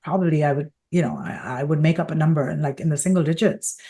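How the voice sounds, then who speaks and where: Podcast host, woman, middle-aged, from Pakistan; low-pitched, measured, explanatory, lots of "you know". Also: mellow. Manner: thoughtful, explains with many fillers and restarts. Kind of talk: conversational interview.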